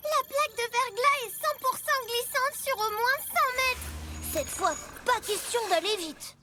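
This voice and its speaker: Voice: extremely high pitch, measured pace. An actor voicing an eager, childlike young mini monster truck in a children's cartoon dub. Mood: worried, bickering. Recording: loud music behind. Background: loud cartoon music.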